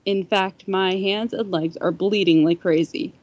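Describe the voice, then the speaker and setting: A woman's voice: medium pitch, steady tone, measured pace, darkish tone, no room sound. Woman, mid-range voice, first-person story reading, occasional stumbles, lo-fi podcast sound. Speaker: fan fiction podcast reader.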